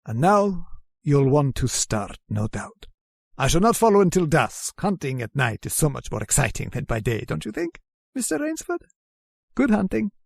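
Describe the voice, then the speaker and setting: Radio drama villain, a gentleman hunter of men; mock-polite and theatrical, gravelly edge, dry close sound. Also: on the high side, wildly swooping pitch, moderate pace, no echo, heavily acted. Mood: smug, menacing courtesy. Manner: theatrical, formal, mockingly courteous. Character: genteel, sadistic aristocratic general who hunts men, gloating and playful. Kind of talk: old-time radio drama acting.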